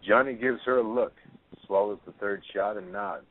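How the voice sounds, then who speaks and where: A man's voice: lowish voice, moderate pace. A man narrating a play script, reading out the stage directions. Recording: tinny phone-line audio.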